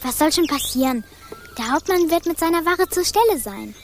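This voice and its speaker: Radio drama casting: confident scheming kid, high, animated, conspiratorial, loud music underneath. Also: female voice, moderate pace. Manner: conspiratorial, explaining. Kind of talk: German radio play acting.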